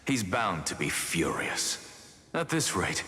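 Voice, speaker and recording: An actor voicing an arrogant, theatrical demigod of reason in a game, speaking in measured, articulate, haughty lines. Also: male voice, low-pitched, very animated, pushed and bright, exaggerated voice. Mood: stern, skeptical. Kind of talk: dramatic game dialogue. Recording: reverberant hall with music underneath.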